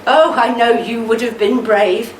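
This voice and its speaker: A woman playing a devoted, steadfast older army wife in a radio play, with a measured, tender delivery. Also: on the high side, fairly even intonation, natural voice. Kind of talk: amateur radio play acting. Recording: roomy, distant mic, faint noise.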